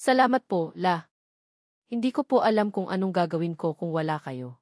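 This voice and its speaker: A woman's voice: on the low side, expressive, moderate pace, a little mellow, no room sound, studio quality, close to an everyday voice. Woman voicing a humble, hesitant young man. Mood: grateful, unsure. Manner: hesitant, polite. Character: humble, uncertain young working man, devoted grandson.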